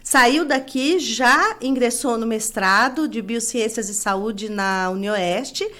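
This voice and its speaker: A woman's voice: fairly high pitch, slow pace, bright, forceful tone. A middle-aged woman hosting an academic interview as a nutrition professor, chatty, with frequent tag questions. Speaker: host, nutrition professor and course coordinator.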